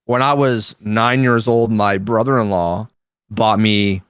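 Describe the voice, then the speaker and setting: Man in his thirties, lower voice, laid-back and slangy, dry close sound. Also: fairly even intonation, slow pace, clean tone, dry recording. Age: 30s. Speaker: guest drummer. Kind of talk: casual podcast chat.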